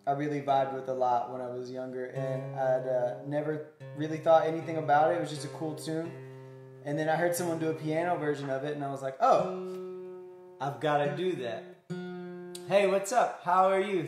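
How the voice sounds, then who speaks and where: A man's voice: on the high side, steady tone, moderate pace. A man in his late twenties chatting casually between songs at a home concert, easygoing and chatty, with fillers. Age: late 20s. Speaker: singer-songwriter. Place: small room at home with guitars on the wall. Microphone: phone or camera in front of him. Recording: roomy home room, guitar playing behind.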